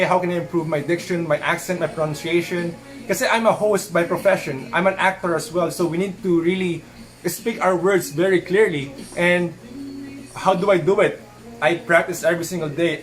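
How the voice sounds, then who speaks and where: A young man answering fan questions in a casual live-stream chat, earnest and switching between English and Tagalog as he talks. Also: on the high side. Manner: says he stutters when his thoughts run fast.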